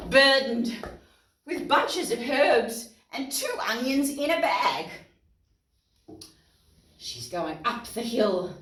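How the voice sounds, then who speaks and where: A woman reading a story aloud to young children in an expressive, dramatic way, with asides to her listeners. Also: on the high side. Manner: expressive, dramatic gasps and exclamations. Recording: roomy echo, distant mic, music.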